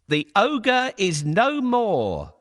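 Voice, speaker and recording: A man's voice: high voice, huge pitch swings, drawn-out words, a bit bright, pitched above the performer's own voice, exaggerated voice. A man voicing a sly, bold talking cat who speaks persuasively and theatrically in a children's fairy tale. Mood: proud, announcing.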